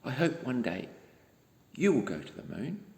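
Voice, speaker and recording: A man reads a children's bedtime story in a gentle, expressive way. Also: mid-range voice, normal speed, background noise. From New Zealand. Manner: gentle, expressive, addressing young listeners. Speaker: storybook reader. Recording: echoey room with long reverb.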